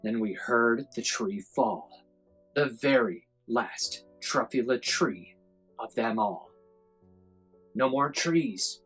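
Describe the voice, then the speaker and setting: A woman reading a children's book aloud in a dramatic, expressive storytelling style. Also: rumbling low, husky, low-quality recording. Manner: dramatic, measured delivery.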